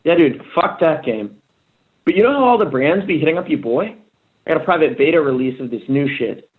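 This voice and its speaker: A cocky young slacker streamer, played by a man with a fairly high voice. He brags in loose slang at a script read, and the sound is narrow and slightly tinny. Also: lively intonation, fairly quick, natural voice. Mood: boastful. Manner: slangy, boastful hype. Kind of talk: table read of a screenplay.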